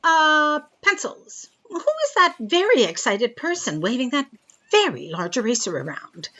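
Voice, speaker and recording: A woman's voice: sits high, wildly swooping pitch, measured pace, pushed and bright, muffled recording, full character voice. A woman playing a befuddled, chirpy and scatterbrained editor in a comic sci-fi audio drama. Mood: bewildered. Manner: scatterbrained, hesitant. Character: befuddled, chirpy editor who forgot who she is.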